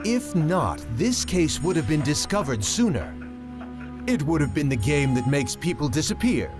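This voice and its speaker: A highish, animated young male ninja, brainy and formal, reasoning things out deliberately. Anime game dialogue over soft music. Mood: intrigued, analytical. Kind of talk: anime video game cutscene acting.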